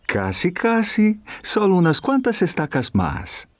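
A man voicing a patient, encouraging father in a dubbed children's cartoon, speaking gently. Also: high voice, huge pitch swings, a little slow, heavily acted. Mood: cheerful, patient.

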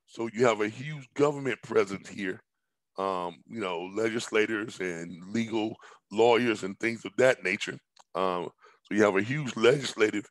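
A middle-aged man with a hoarse, somewhat low voice pitches a business idea from his home over a headset on a video call. He rambles, stacks up repeated words and fills gaps with ums. The sound is close and dry. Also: no echo, poor audio. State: hoarse, recovering from illness. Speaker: business presenter. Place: indoors at home, large room with staircase.

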